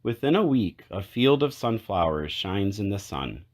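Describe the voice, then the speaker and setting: Deep-voiced man reading a storybook aloud. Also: big pitch swings, moderate pace, a little mellow. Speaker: children's book read-aloud narrator. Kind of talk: read-aloud storybook narration.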